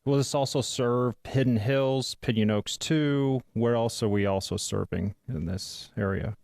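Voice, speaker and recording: A man on a planning commission asking questions haltingly, with many pauses. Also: mid-range voice, gravelly, darkish tone, dry recording, studio quality. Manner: hesitant, halting. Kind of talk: asking questions at a public meeting.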